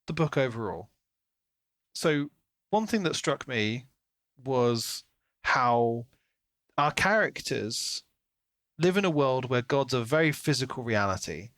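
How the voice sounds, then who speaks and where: A man with an average-pitched voice talks as a podcast co-host. He is conversational, reflective and enthusiastic about what he discusses. The sound is dry, with very little room in it. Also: fairly bright tone, no room sound. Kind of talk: casual book discussion.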